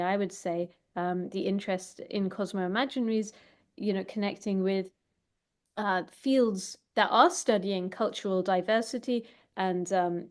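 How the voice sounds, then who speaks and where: A woman hosting a talk and discussion, speaking thoughtfully and informally with halting, hesitant phrasing. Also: medium pitch, a little mellow. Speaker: host and moderator of an online talk.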